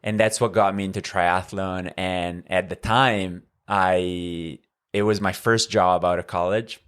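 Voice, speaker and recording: A man in his thirties from Northern Italy, speaking English with an Italian accent as a podcast guest, measured and full of fillers. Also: low voice, steady tone, a bit bright, smooth. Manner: measured, with frequent fillers and restarts. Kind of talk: conversational interview.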